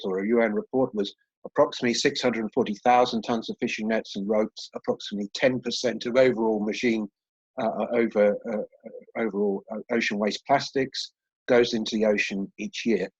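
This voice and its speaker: A man's voice: mid-range voice, husky, dry recording. A man, a professor, giving a webinar presentation over a video call, hesitant with many fillers and restarts. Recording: dry, dull lo-fi call audio.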